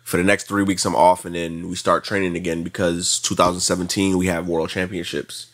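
A man's voice: sits low, level tone, fairly quick, fairly bright tone. A man in his late twenties with an American accent, chatting casually as an interview guest, repeating himself for emphasis. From South Florida, United States. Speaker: podcast guest, track athlete.

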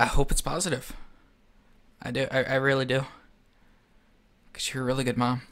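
A man acting in an audio drama as a subdued, earnest young man with a halting way of talking. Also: medium pitch, a bit bright. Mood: hesitant, sincere. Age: young adult. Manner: halting, hesitant.

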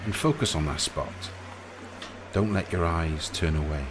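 A man narrating a guided sleep meditation in a soothing, gentle, unhurried way, leaving pauses between short phrases. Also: deep voice, noisy background. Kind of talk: guided sleep meditation, hypnotic relaxation countdown. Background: music.

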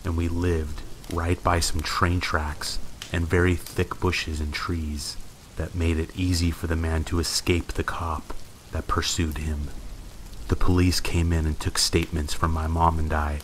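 A man reading a scripted scary story in a measured, suspenseful way, as a narrator. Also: sits low, rough recording. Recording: steady rain noise behind. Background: rain and thunderstorm ambience.

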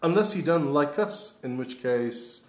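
A man's voice: on the high side, animated, unhurried. A man hosting a podcast with casual, joking banter. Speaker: podcast host. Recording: roomy, echoing podcast recording.

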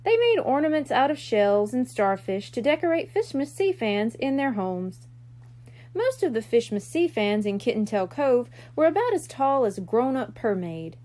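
A woman reading a children's book aloud as a library storyteller, expressive and clearly phrased. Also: fairly high pitch, a little slow.

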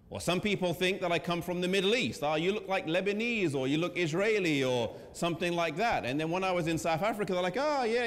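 A man in his thirties with an English accent, preaching in a conversational way with pauses and fillers. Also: a little high. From England. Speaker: pastor. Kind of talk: sermon presentation. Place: church hall with a seated audience. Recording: long church hall reverb.

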